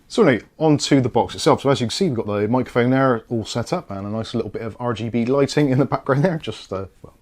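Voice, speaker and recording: A man's voice: mid-range voice, fast talker, darkish tone. A British man giving a casual product review and unboxing, explaining things in a chatty, easygoing way.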